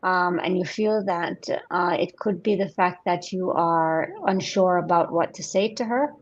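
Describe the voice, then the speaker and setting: Woman gently guiding a video call. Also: fairly low pitch, a little slow, dull audio. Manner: gentle, coaxing questions. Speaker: host guiding a group session.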